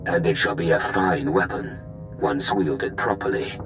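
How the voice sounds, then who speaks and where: Deep, haughty dark lord. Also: male voice, music in the background. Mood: grandly triumphant. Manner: grandiose, measured. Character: menacing, haughty Sith lord, grandiose commander. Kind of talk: video game voice acting.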